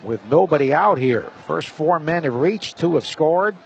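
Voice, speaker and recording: A man's voice: on the high side, lively intonation, unhurried, vocal fry. A man working as a play-by-play announcer, giving live baseball commentary in the style of a radio broadcast. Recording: slightly dull broadcast, faint stadium noise.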